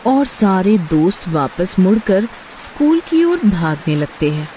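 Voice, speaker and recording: A woman's voice: lowish voice, very animated, measured pace, warm tone, a little hiss or hum, low-quality recording. A woman narrating a scripted horror story for children.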